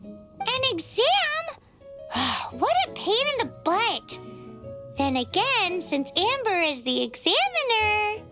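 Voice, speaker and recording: A woman voicing a small, childlike fairy companion, chirpy and grumbly, with a squeaky voice in an anime game. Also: very animated, slow, bright, forceful tone, heavily acted. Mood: grumbling. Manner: speaks of self in third person. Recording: music behind the voice.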